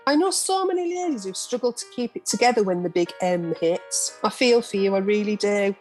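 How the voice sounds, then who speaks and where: A woman acting a brash middle-aged reporter in a home-made radio drama. She is frank and affectionately teasing, at an average female pitch, with faint music in the video-call recording. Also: expressive, a little fast, a little mellow, natural voice. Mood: sympathetic, frank. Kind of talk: amateur radio play acting.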